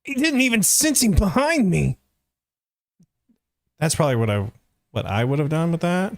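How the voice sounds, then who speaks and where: A man's voice: a little high, sing-song, normal speed, dry recording, studio quality. A young man who voice acts, giving casual, analytical reaction commentary in a hesitant, searching way. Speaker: voice actor reacting and reviewing.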